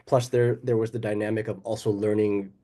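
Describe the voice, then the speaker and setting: A male actor talks in a fairly low voice, relaxed and joking about himself. He laughs between thoughts and speaks in a loose, conversational way. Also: fairly quick. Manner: casual, laughing, self-deprecating. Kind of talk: festival Q&A answer.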